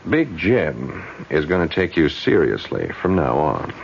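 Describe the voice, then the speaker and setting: An actor playing a brash, persuasive campaign manager in a 1970s radio drama, talking fast and teasing. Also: male voice, a bass voice, very animated, gravelly, highs cut off, slight background noise, exaggerated voice. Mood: pushy.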